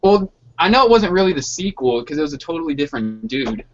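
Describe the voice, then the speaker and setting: A man's voice: on the high side, very animated, quick pace. A man chatting casually in slangy, sweary language over an online hangout call with dull, lo-fi sound. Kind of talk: casual chat. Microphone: online video hangout.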